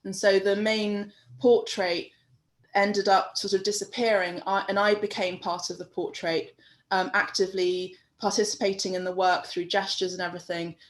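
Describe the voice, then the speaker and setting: A woman with a London accent, a guest artist talking casually in an interview over a video call, rambling with many fillers and soft laughs. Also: medium pitch, flat delivery, moderate pace, low-quality recording.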